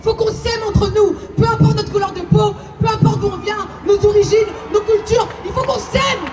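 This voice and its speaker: Shouting young woman at a rally. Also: extremely high pitch, fairly even intonation, normal speed, over music. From Congo. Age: 20s. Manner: shouting to a crowd, repetitive calls. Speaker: rally speaker. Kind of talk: impassioned protest speech. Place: outdoor square at a rally. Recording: echoey, far from mic, crowd and noise.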